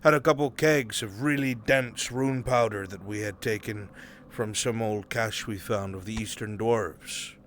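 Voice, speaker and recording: A man playing a worldly, sly fantasy adventurer in live roleplay, with deliberate, coarse-mouthed delivery. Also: fairly low pitch, fairly bright tone, slight background noise. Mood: grave storytelling. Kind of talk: live improvised fantasy roleplay in virtual reality. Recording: background music behind the voice.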